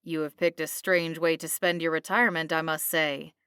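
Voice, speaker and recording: A woman's voice: low voice, flat delivery, moderate pace, a bit bright, smooth, dry recording, clean studio sound. A woman voicing a thin, authoritative male military captain in a storybook, sceptical, clipped and sardonic. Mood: dry, sceptical.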